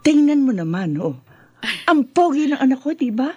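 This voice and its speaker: A woman's voice: high-pitched, big pitch swings, moderate pace, darkish tone, dry recording, highs cut off, faint music behind. An actress playing a bubbly, doting, boastful middle-aged mother in a Filipino radio drama, chatty and teasing, with a Filipino accent. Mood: boastful, gushing.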